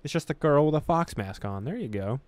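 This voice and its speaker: A man reading a horror visual novel aloud as a gaming host, with expressive storytelling and casual delivery. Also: fairly high pitch, brisk, dry recording.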